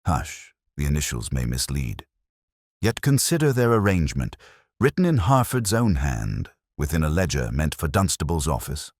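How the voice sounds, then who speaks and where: A man narrating plays a shrewd gentleman detective from a mystery audiobook, very deep in voice. He speaks in precise, formal deductions, cryptic and sure of himself, with lively turns of pitch. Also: normal speed, dry recording, clean studio sound, exaggerated voice. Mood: assured, deductive.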